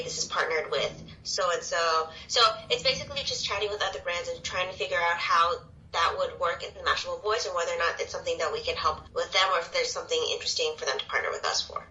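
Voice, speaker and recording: A woman's voice: fairly low pitch, sharp tone, dull audio. A young woman from Taiwan chatting casually as an interview guest, explaining her work in talkative run-on sentences. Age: young adult. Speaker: interview guest, social media video producer.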